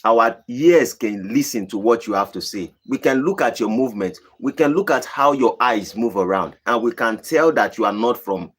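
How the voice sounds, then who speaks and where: A man from Nigeria with a Nigerian accent, hosting a live stream and answering viewer questions in a deliberate, emphatic way. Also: expressive, breathy edge. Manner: deliberate, emphatic, rising to high emphatic bursts. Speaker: channel host.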